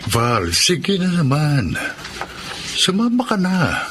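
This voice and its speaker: An actor playing a middle-aged philandering husband, wheedling and quick-tempered, in a Tagalog radio drama. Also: male voice, fairly high pitch, huge pitch swings, over loud music, heavily acted.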